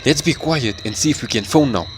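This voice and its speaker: A man's voice: medium pitch, expressive, a little fast, a little hiss or hum, natural voice. A man playing a panicky, cautious young man under fire in an audio drama. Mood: tense, cautious.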